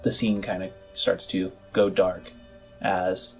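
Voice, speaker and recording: A man narrating a tabletop roleplaying game as game master, in halting, measured phrases. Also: sits low, flat delivery, creaky, a little mellow, faint music behind.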